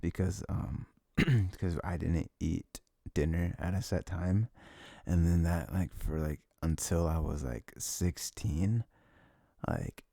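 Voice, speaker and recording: A young man talking in a casual, unscripted, intimate ASMR style, rambling and halting with a lot of "like", his gravelly voice often sinking toward a whisper. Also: dry recording, clean studio sound. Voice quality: low, gravelly, breathy, often dropping near a whisper. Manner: rambling, halting, frequent "like", soft chuckles. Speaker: ASMR audio creator playing a comforting boyfriend.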